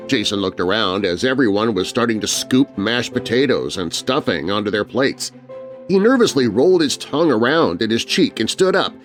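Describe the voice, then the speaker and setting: A man's voice: on the low side, sing-song, normal speed, faint music behind. A man narrating a scary story for children in a dramatic, scripted storytelling style.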